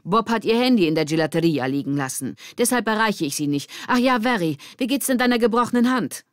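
Woman doing a bossy brisk mom on the phone, raised pitch, loud, kids audiobook. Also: expressive, no room sound, clean studio sound, natural voice. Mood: brisk, chatty. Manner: insistent, chatty. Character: brisk, demanding mother running a gelateria.

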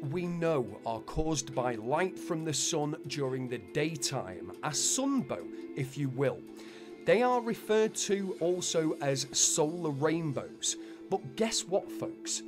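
Man, scripted explainer narrator, animated delivery, folksy sense of wonder, clear music behind. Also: on the high side. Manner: folksy, wonder-filled asides to listeners. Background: background music.

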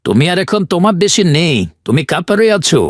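Cartoon doctor, male actor: calm, fatherly, high-pitched, dry sound. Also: fairly quick, no echo. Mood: reassuring. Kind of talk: cartoon voice acting.

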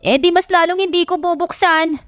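A woman's voice: very high-pitched, steady tone, moderate pace, clean studio sound, voice pushed up. A woman voicing a brash, feisty, easily panicked young woman in an audio romance story. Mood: loud, flustered. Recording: roomy echo, music bed behind.

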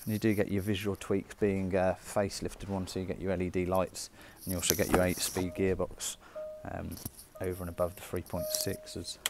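A man's voice: sits low, slight background noise. A British man giving a chatty, informal walkaround sales presentation as a car dealer. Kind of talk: walkaround sales presentation, semi-casual commentary. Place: indoor car showroom.

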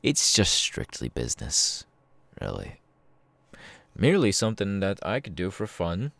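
Sadistic male killer for ASMR roleplay, acted by a man: low, gravelly, taunting, with wildly swinging pitch. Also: a bit bright, dry recording, full character voice. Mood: smug, businesslike. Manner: slow, taunting, drawn-out with sudden swings. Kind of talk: ASMR villain roleplay, close and menacing.

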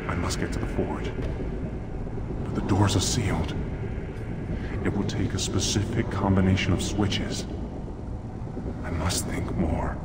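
An actor playing a weary, fearful dwarf explorer in a fantasy game, speaking gravely and haltingly. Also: male voice, low-pitched, fairly even intonation. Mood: weary, urgent. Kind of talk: fantasy video game acting. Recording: distant voice under loud ambient noise.

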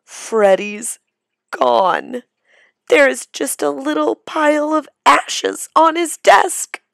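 A woman narrates a children's storybook with theatrical suspense. She takes it very slowly and moves her pitch around expressively. The recording is dry, with hardly any room in it. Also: animated, gravelly, fairly bright tone, no room sound. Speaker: storybook reader. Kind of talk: dramatic children's read-aloud narration.

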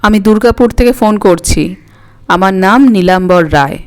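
A woman voicing a polite, hesitant elderly man on the telephone in an audio story, halting and quavery, with music behind. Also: medium pitch, voice pushed down, natural voice. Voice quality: quavering, aged. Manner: halting, roundabout, courteous.

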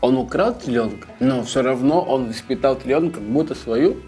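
A man's voice: mid-range voice, animated, moderate pace, darkish tone. Young foreign-accented man over music. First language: not Russian. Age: young adult. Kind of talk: casual reaction chat. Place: small studio, seated at table. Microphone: lapel.

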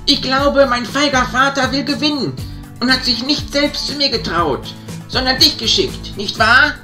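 A woman's voice: sits high, measured pace, over music. A woman voicing a brusque young male hero in an amateur radio play, speaking bluntly and defiantly.

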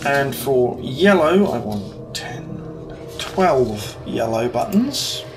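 A man's voice: mid-range voice, big pitch swings, drawn-out words, noisy background. A British man in his thirties, casually walking through his home cockpit build, thinking aloud with ums as he shopping-lists parts. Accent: British English. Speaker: hobbyist YouTuber. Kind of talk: casual build vlog walkthrough. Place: living room at home. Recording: clear background music under voice. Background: background music.

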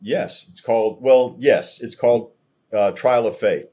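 An older American man around sixty, telling his personal testimony as a podcast guest in an earnest, halting way over a video call from a room at home. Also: medium pitch, mellow, breathy edge. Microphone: video call, computer microphone at desk distance.

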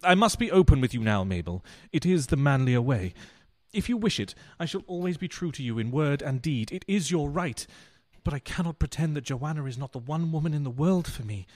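A man's voice: fairly high pitch, expressive, a little fast, few pauses, a little mellow, close to an everyday voice. Guy doing a pompous husband in a radio play, florid and sorry for himself, voice goes up and down a lot. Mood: pompous, earnest. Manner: earnest, florid, grandiose. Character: pompous, self-pitying, self-deceiving philandering husband. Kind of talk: stage-style radio play acting.

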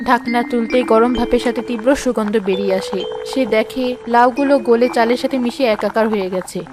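Casting: female storyteller for cartoon tales, scripted narration over clear background music. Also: on the high side, fairly even intonation, moderate pace.

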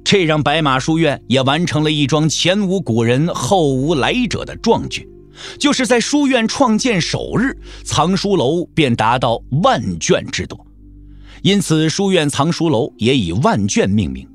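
Casting: male storyteller, dramatic novel narration with animated melody and a faint music bed. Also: fairly high pitch, measured pace. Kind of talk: dramatic audiobook narration of a martial-arts novel. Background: soft background music.

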